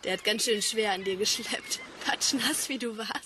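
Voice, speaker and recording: A woman's voice: mid-range voice, moderate pace, bright, forceful tone, a little hiss or hum, lightly acted. An actress playing a concerned, plain-spoken woman in a radio drama, questioning her partner in a down-to-earth way. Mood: reflective, concerned. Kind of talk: German radio drama dialogue.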